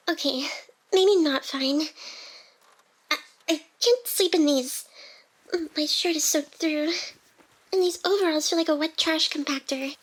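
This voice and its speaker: Wounded, devoted AI hacker girl, played by a woman: high-pitched, breathy, teasing, halting, whisper-prone, close ASMR roleplay delivery. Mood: flustered. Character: playful, wounded young female AI hacker, secretly devoted. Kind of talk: intimate ASMR roleplay acting.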